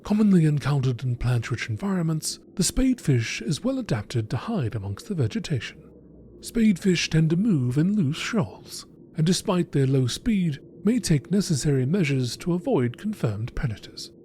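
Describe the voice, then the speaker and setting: A man reading scripted nature documentary narration in a measured, expository manner. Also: fairly high pitch, big pitch swings, mellow, a little hiss or hum. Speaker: narrator reading game text.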